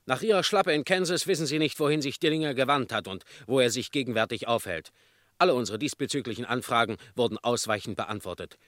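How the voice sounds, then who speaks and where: An actor playing a formal newsreader reading press reports in a radio drama. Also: male voice, mid-range voice, animated, fast talker, a bit bright, dry recording, clean studio sound, close to an everyday voice. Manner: formal, declamatory.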